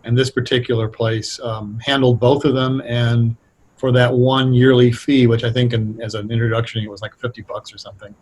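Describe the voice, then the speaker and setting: A man speaking casually and thoughtfully as a writer being interviewed, explaining things in a halting, anecdotal way. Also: mid-range voice, little pitch movement, darkish tone, husky, low-quality recording. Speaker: radio drama writer, interview guest.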